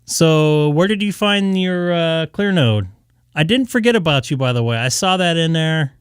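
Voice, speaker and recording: A man's voice: fairly high pitch, animated, moderate pace, clean tone, dry recording, studio quality. A man hosting a ham radio live stream, talking casually and a bit tired, with lots of fillers. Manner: tired, loose, chatty with many fillers.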